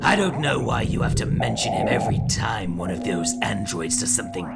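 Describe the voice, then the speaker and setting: A man's voice: on the low side, lively intonation, brisk, gravelly, over loud music. A man playing a tyrannical, vain, hot-tempered villain boss who rants and bullies in an audio drama. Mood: scornful. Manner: ranting, bullying, theatrical.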